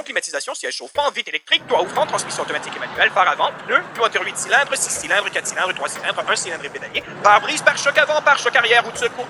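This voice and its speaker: Spoof radio ad announcer male actor: zany hard seller, very high, animated delivery, noisy background. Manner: exaggerated salesman pitch, rattling off lists. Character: zany over-the-top male radio announcer selling junk. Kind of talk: parody radio advertisement.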